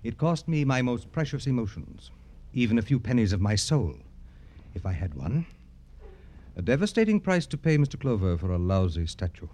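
An actor in an old-time radio drama playing an arrogant, jaded, wealthy satirist who talks in florid, affected, theatrical phrases. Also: male voice, mid-range voice, sing-song, moderate pace, a little mellow. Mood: haughty, teasing.

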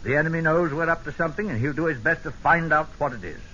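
An actor playing a brisk, jovial, middle-aged British general who hems and speaks in clipped phrases, in old-time radio drama style. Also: male voice, on the high side, pushed and bright. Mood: brisk, hearty. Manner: clipped, hemming, hearty upper-class officer talk. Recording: dull, noisy vintage radio broadcast.

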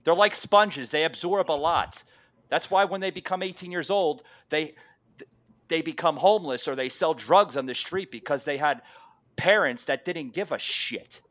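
A man's voice: fairly high pitch, moderate pace, fairly bright tone, dull audio. A man hosting an unscripted political debate, insistent and repeating his phrases for emphasis. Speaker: talk show host.

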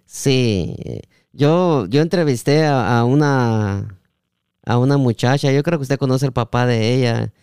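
A man hosting a casual interview, halting and often seeking agreement with tag questions. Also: mid-range voice, a little mellow, clean tone, no echo, studio quality. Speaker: podcast host.

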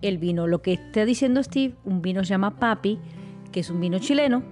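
A woman's voice: medium pitch, expressive, fairly quick, a little mellow, faint music behind. A woman in a casual chat, chiming in with wry remarks.

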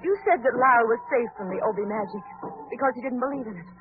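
An actress playing a sleuth's sharp, wry and questioning female companion in an old-time radio drama. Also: on the high side, quick pace, lightly acted. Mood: uneasy. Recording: tinny old radio recording with music.

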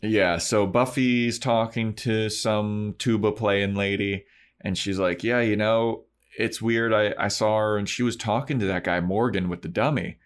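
A man's voice: lowish voice, steady tone, measured pace, clean tone. A man co-hosting a podcast, casually recapping a TV episode in slangy, informal storytelling and paraphrased dialogue. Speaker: podcast co-host.

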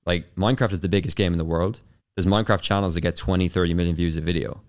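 A young man with an Irish accent, a guest on an interview show, answering in a casual, rapid way full of fillers and restarts. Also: low voice, steady tone. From Ireland. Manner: fast, filler-heavy with many restarts. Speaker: YouTube consultant, guest.

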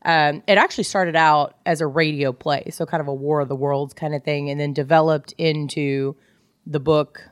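Low-voiced woman, dry close sound. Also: steady tone, normal speed, smooth, no echo. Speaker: podcast co-host. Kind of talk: casual book club chat.

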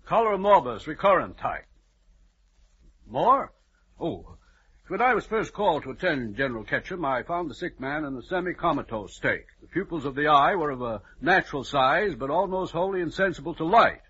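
An actor playing a fussy, pompous, clinical old-fashioned doctor giving testimony in an old-time radio drama. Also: male voice, on the high side, animated, normal speed, a bit bright, breathy edge. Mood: precise, self-important. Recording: muffled old radio recording.

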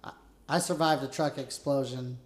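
Loud, echoey man swapping military stories. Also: mid-range voice, steady tone, normal speed. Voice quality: loud, carrying voice. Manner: profane, slangy, blunt storytelling. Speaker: podcast host interviewing a fellow veteran. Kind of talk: casual podcast chat, military war stories.

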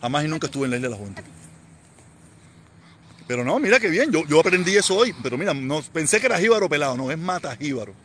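A man's voice: on the high side, very animated, quick pace, slight background noise. A man in his forties from Havana, speaking with a Cuban accent, chatting casually and rambling on a live stream, repeating himself and answering viewer comments. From Cuba. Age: 40s.

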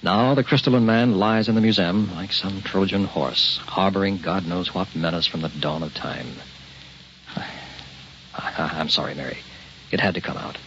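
A man's voice: low voice, normal speed, a bit bright. An actor playing a troubled middle-aged scientist and family man in an old-time radio drama. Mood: grave, troubled. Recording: muffled old radio recording with hiss.

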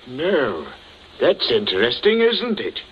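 An actor playing a measured, quietly suspicious village constable, probing and understated in 1940s radio style. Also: male voice, mid-range voice, huge pitch swings. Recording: lo-fi, hissy 1940s radio recording.

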